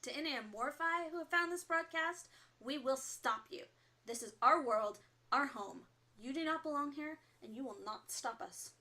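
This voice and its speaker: A woman playing a fiery resistance broadcaster in an audio drama. Her voice sits high, with a sharp, bright edge. She is defensive and emphatic, a leader who means every word. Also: moderate pace. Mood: solemn, defiant. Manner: forceful, indignant, emphatic. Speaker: radio broadcaster.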